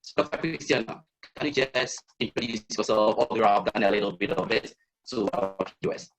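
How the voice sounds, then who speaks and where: A man's voice: lowish voice, brisk, a crowd in the background, rough recording. A man hosting an online panel, introducing each guest in a hesitant way with restarts. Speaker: host, moderator.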